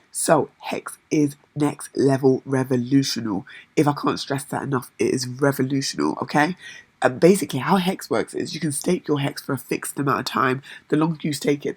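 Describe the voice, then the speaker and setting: Brief: woman vlogger, voice gone hoarse and husky, eager chatty delivery, close dry sound. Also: very deep voice, no room sound. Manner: chatty and enthusiastic, with frequent fillers and repetitions. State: hoarse, lost voice. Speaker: crypto vlogger. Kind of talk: casual talk-to-camera vlog.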